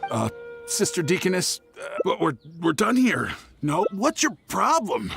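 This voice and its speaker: Creaky, stammering, shifty game hunter. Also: male voice, high-pitched, big pitch swings, unhurried, full character voice. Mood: defensive. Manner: stammering, evasive. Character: shifty, nervous hunter talking to a church deaconess. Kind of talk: video game voice acting. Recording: loud game music behind.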